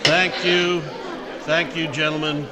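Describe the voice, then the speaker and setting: A man's voice: on the high side, flat delivery, slow pace, a loud crowd, heavy background noise. A man playing a solemn, formal male judge with declamatory delivery in a 1940s radio drama style. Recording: long courtroom-like reverb, music behind.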